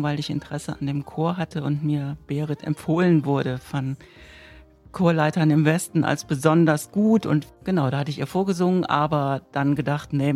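A woman in her late fifties from Germany, telling her personal story candidly and with animation, with soft music underneath. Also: low voice. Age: 50s. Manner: candid and lively, with laughs. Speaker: interviewee.

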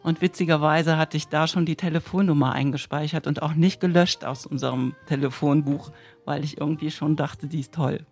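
A woman in her late fifties from Germany, telling her personal story candidly and with animation, with soft music underneath. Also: deep voice, a little fast. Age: 50s. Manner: candid and lively, with laughs. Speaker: interviewee.

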